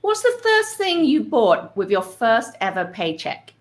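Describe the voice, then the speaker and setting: A woman interviewer with a fairly high voice asks questions in casual chat. Her pitch moves up and down a lot. She answers the guest with quick exclamations. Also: sing-song.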